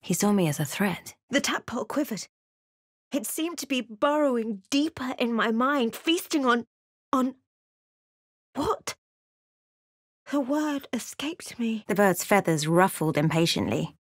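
Thoughtful woman adventurer for a fantasy game, measured dramatic storytelling, super dry recording. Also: fairly high pitch, animated, no echo. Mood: grave narration. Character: thoughtful adventurer woman recounting her journey. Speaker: voice actor for a player character. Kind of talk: scripted game narration and character lines.

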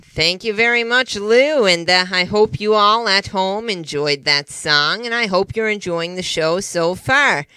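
Man voicing a bright, high-voiced puppet show host. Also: measured pace, clear, resonant tone, exaggerated voice, low-quality recording. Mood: chatty, friendly. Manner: chatty, folksy, eager showman. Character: cheerful, polite puppet talk show host, male. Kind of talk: children's puppet show presenting.

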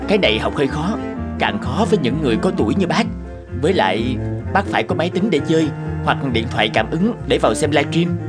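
A man voicing a polite, patient young man who explains things in an animated cartoon. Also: a little high, fast, over loud music.

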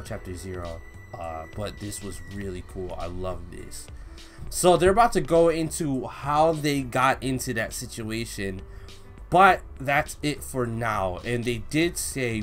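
Animated young man gushing over background music. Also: mid-range voice. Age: young adult. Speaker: reaction video host. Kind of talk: excited casual reaction commentary, reading manga aloud. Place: home room with bookshelf, indoors.

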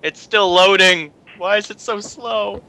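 A man's voice: sits high, fairly even intonation, unhurried, sharp tone, slight background noise, low-quality recording. A man bantering casually during an amateur group script reading. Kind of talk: casual banter between read lines.

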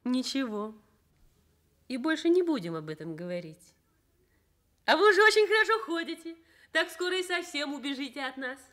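A brisk encouraging young nurse, radio play, highish lively voice. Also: female voice. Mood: friendly, guarded. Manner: encouraging, firm. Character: brisk, caring, reserved young female hospital nurse. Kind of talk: Soviet radio play acting.

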